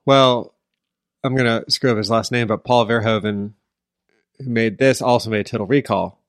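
A man co-hosting a podcast, talking in a relaxed, casual way. His humor is dry and wry, and he teases his co-host. The recording is close and dry. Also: mid-range voice, normal speed, dry recording. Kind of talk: casual chat.

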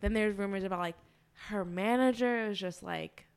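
A woman co-hosts a chatty pop-culture podcast in a smooth, resonant voice at a middling pitch. She is nursing a cold and talks in a loose, fast-flowing way, full of fillers and asides. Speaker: podcast co-host. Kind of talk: casual pop-culture chat.

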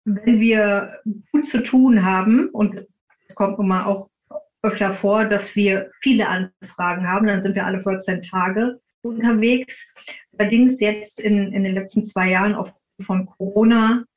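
Woman, medium pitch, conversational interview guest, explanatory, detailed, slightly muffled lo-fi sound. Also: fairly even intonation, unhurried. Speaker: podcast guest, paranormal investigator.

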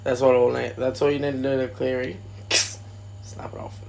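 An Australian man co-hosting a live podcast, his voice gravelly with fry. He is a bit tipsy, curses freely and trades rowdy jokes with his co-host. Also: mid-range voice, level tone, normal speed, a little hiss or hum. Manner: swears a lot, joking banter. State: a little tipsy. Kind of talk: casual live podcast chat.

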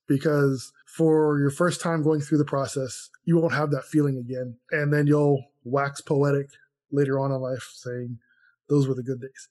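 Guy podcast cohost, bit higher pitched voice, casual reflective entrepreneur chat. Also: a little mellow. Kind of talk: casual conversational talk.

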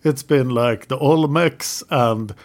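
A man's voice: medium pitch, slow pace, a little mellow. A male podcast host with a mid-range voice who leads the conversation. He explains history at length in a casual way and now and then teases his co-host. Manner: teasing, leads the talk in long explanations.

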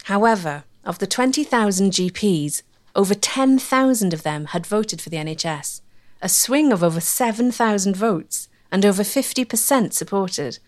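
A woman narrates a history documentary in a measured storytelling manner. Her voice sits in a middle range. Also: mid-range voice.

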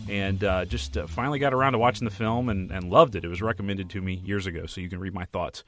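A hoarse man, hosting a solo podcast and giving his opinions on films in a rambling, casual way. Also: low-pitched, fast, soft background music. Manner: rambling, opinionated, many fillers and restarts. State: hoarse from a flu. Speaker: podcast host and film critic.

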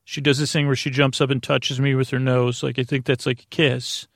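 A man hosting a bedtime podcast in a casual, rambling monologue, halting and drifting off into tangents, with a creaky voice. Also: medium pitch, level tone, no echo, studio quality. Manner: meandering and halting, trails off into tangents. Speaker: podcast host.

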